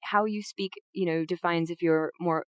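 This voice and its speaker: A woman's voice: normal speed, no echo. Playful giggly woman on a dry mic. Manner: playful, giggly, bursts into high excited tones. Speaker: guest, voice actress and streamer. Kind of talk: casual podcast chat.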